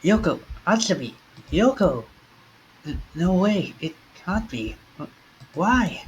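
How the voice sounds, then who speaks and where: A man's voice: high voice, very animated, slow, dull audio, slight background noise, pitched above the performer's own voice, full character voice. A man reading as a shaken young man. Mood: panicked. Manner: stammering, panicky, then quietly resolved. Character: frightened young man, friend has collapsed. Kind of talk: reading a visual novel aloud. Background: game music.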